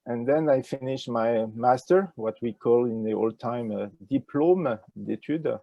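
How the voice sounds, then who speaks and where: An older man with a French accent, answering interview questions in a halting way with many fillers, speaking over a video call with a wired earphone mic. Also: mid-range voice, gravelly, dry recording, dull audio. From France. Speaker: ecology professor, interview guest. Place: indoors with a virtual nature backdrop.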